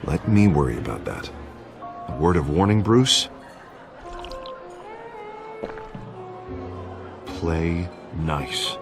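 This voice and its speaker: An actor playing a charming, self-assured, ambitious American politician in a video game. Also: male voice, a bass voice, big pitch swings, a little slow, music in the background, full character voice. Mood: firm, guarded. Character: smooth, upbeat, ambitious male politician. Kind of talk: video game character acting.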